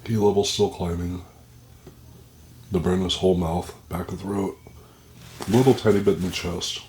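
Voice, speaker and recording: A man with a very deep, low voice talks haltingly through a pepper tasting, his nose running and blocked up. He speaks into a plugged-in microphone at home, with a faint hiss behind him. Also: fairly even intonation, a little mellow. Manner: halting, describing the burn as it builds. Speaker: pepper reviewer. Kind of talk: casual vlog commentary. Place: indoors at home.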